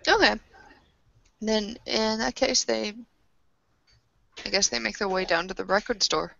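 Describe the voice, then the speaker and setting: A woman playing a tabletop roleplaying game, speaking casually and improvising in a halting way. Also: medium pitch, vocal fry, a bit bright, no echo, lo-fi sound.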